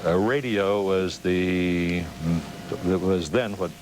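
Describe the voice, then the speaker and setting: A man's voice: low-pitched, takes it slowly. An American man, middle-aged or older, chatting casually as the host of a television interview. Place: TV studio interview. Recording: noisy 1990s local TV broadcast.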